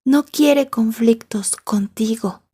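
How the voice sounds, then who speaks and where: A woman playing a sweet, bookish schoolgirl girlfriend with a possessive edge, soft-spoken and breathy, in an ASMR roleplay. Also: a little high, takes it slowly. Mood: firm, coolly possessive. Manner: soft-spoken, dropping into whispers. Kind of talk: ASMR roleplay acting in Spanish.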